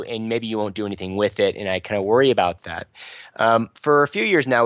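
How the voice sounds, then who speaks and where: A man with a fairly loud, somewhat low voice talks casually about running his local user group. His delivery is loose and chatty, full of fillers and restarts. The sound is dry and close, with no room around it. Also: no echo. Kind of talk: casual podcast panel talk.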